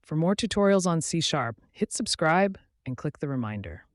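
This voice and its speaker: A man narrating a scripted tutorial as its host, in a measured, instructional way. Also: on the high side, sing-song, dry recording, studio quality.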